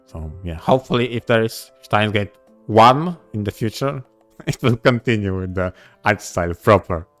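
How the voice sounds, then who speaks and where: A man talking casually as a podcast co-host, a little halting, with emphatic repeated phrasing. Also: on the low side, animated, faint music behind.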